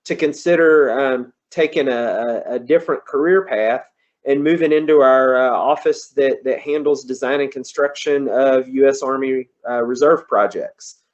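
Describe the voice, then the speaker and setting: Folksy Kentucky man on a dull video call. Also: mid-range voice. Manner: folksy, conversational, says y'all. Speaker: guest presenter, engineer. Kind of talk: online presentation. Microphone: computer on a video call.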